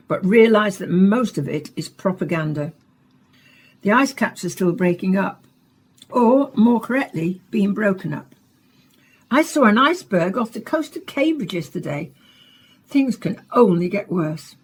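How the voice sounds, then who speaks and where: A woman playing a dutiful radio broadcaster in a dystopian science fiction play, with a slightly husky voice and scripted delivery. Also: medium pitch, expressive, a little slow. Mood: grave, confiding. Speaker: radio interviewer and narrator. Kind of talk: scripted radio drama monologue and interview.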